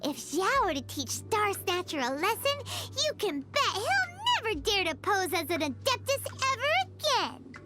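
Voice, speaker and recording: A tiny chirpy game fairy, with an extremely high, squeaky childlike voice. She is cheeky and exclamatory, swooping wildly up and down in pitch. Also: big pitch swings, measured pace, hardly any pauses, over loud music, exaggerated voice. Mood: eager, indignant. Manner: exclamatory, refers to self in third person. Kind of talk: video game voice acting.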